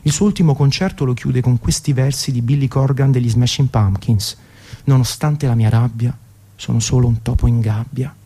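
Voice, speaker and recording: A man in his thirties reading a scripted, literary radio narration in a measured, poetic way. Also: mid-range voice, dark tone, husky. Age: 30s. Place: radio broadcast.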